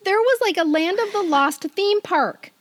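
A woman's voice: extremely high pitch, lively intonation, a little slow, no room sound. A middle-aged woman co-hosting a podcast in casual chat, playful and self-deprecating. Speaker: podcast co-host.

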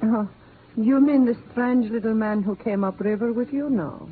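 Radio drama, actress: secretive, earnest woman, measured formal delivery, narrow noisy vintage recording. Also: a little high, lightly acted. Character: guarded, weary Latin woman, earnest and secretive. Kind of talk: 1950s radio acting.

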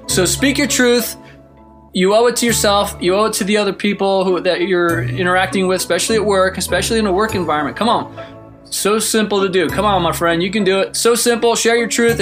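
A podcast host giving casual, encouraging advice in an earnest, emphatic way, speaking at a middle pitch. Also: a bit bright. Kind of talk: casual motivational advice talk.

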